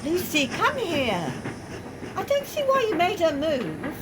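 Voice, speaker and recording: Woman acting high-voiced, put-upon British mum. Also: wildly swooping pitch, slow pace, full character voice. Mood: scolding. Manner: scolding, resigned, blunt. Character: put-upon, plain-spoken working-class mother of a young child. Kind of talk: radio play acting. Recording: clear noise, train rumble.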